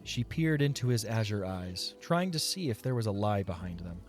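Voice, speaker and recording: A man's voice: lowish voice, big pitch swings, moderate pace, faint music behind. A man reading scripted story narration as the narrator of an audio drama.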